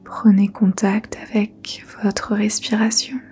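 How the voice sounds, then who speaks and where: A woman leading a guided yoga nidra meditation in a soft, breathy near-whisper, soothing and gentle. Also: mid-range voice, little pitch movement, faint music behind. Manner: soothing, gentle, unhurried instruction.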